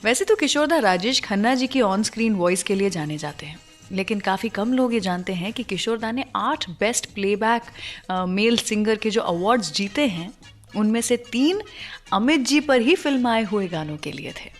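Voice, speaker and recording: A woman's voice: mid-range voice, lively intonation, normal speed. A woman hosting a radio music show, telling stories in a scripted but conversational way and mixing in English phrases. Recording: music under the voice. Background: background music.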